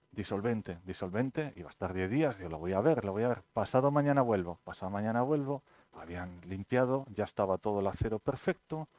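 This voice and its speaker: A man's voice: mid-range voice, no room sound. A middle-aged man from Spain, the author, telling anecdotes at his book presentation and acting out the dialogue. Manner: lively storyteller, acts out quoted dialogue.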